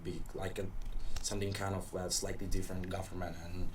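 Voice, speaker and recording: Deep-voiced young Indonesian man, halting English. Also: level tone. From Papua, Indonesia. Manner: hesitant, searching for words. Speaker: student podcast guest. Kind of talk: casual chat. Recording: distant mic with faint noise.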